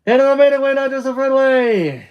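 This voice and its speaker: A man voicing an excitable, affectionate teenage boy in a story, exuberant and eager. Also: sits high, slow, muffled recording, voice pushed up, full character voice. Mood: exuberant confession.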